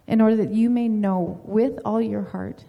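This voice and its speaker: A woman's voice: mid-range voice, fairly even intonation, moderate pace, vocal fry, narrow, tinny audio. A woman giving an earnest, encouraging message to a newly baptized friend, ending with a read-out prayer. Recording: long reverb, hall-like.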